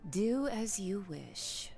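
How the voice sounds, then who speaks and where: A woman's voice: medium pitch, big pitch swings, extremely slow, creaky, warm tone, faint music behind, heavily acted. A woman voicing a cold, haughty, commanding villainess in a game. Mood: cold, dismissive.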